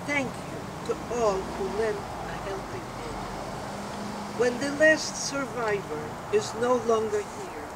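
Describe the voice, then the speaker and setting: Slow-speaking older woman, distant and noisy. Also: medium pitch. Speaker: speaker at a dedication ceremony. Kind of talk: prepared dedication speech. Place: outdoor terrace ceremony. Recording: distant mic, loud outdoor background noise.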